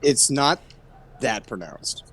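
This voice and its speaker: A man's voice: medium pitch, sing-song, slow, fairly bright tone, slightly rough. A man playing a tabletop game, bantering casually and jokingly with the group.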